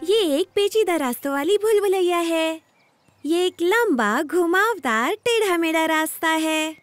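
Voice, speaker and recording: A woman's voice: extremely high pitch, animated, measured pace, faint music behind. A woman voicing a friendly mother pig in a cartoon dub.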